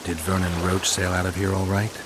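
An actor playing a terse, brooding, battle-hardened monster hunter in a video game, understated in delivery. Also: male voice, low-pitched, level tone, a little fast, background noise, close to an everyday voice. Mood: grim, weary. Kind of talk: video game dramatic acting. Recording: loud music and rain behind.

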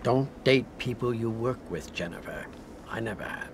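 Actor as dry older scientist, avuncular, mid-pitched, faint aircraft hum. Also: male voice, expressive, moderate pace, close to an everyday voice. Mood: wry. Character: wry, avuncular lab scientist giving advice. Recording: film audio with faint cabin hum.

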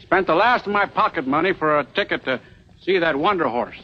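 A friendly middle-aged townsman in a television western. His folksy voice runs high and swings around. The vintage soundtrack is dull. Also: animated, fairly quick, slightly rough. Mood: affable. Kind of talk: 1960s TV western acting.

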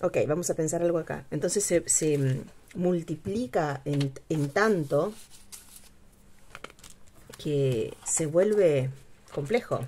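A middle-aged woman hosts a casual craft tutorial at her home table, speaking unhurriedly with many pauses and fillers. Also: deep voice, mellow, slight background noise. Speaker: craft channel host. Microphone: overhead camera, speaker off screen.

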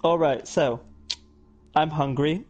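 A man's voice: fairly high pitch, takes it slowly, a little mellow, lo-fi sound. A man chatting casually while playing a game with a friend, dry and self-mocking. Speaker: co-player. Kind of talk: casual gaming chat. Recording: game music underneath.

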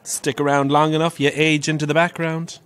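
An actor playing a camp, wry, middle-aged Irish pantomime dame who relishes telling grisly ghost stories in an audio drama. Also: male voice, fairly high pitch, flat delivery, brisk, fairly bright tone, clear, resonant tone, close to an everyday voice. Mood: wry, breezy. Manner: theatrical, wry, relishing the tale.

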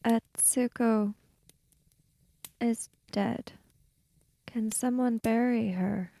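A woman's voice: a little high, steady tone, very slow, gravelly, mellow, dry recording. A woman playing a tabletop role-playing game, speaking in character in a hesitant, subdued way.

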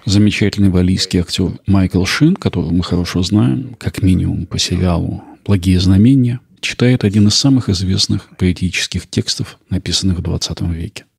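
A male podcast host reads scripted narration in a low, gravelly voice. It is somewhat husky and recorded close and dry. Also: brisk, hardly any pauses, a little mellow, dry recording. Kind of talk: scripted narration with poetry reading.

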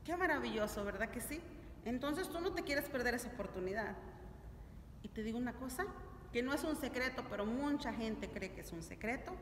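A woman's voice: on the high side, expressive, brisk. A middle-aged woman teaching a religious lesson to families in a didactic, questioning way, recorded in a church. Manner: didactic, asks check-in questions. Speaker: catechism teacher. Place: large stone-walled church, indoors. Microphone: camera a few steps away. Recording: echoey church hall, distant mic, noisy.

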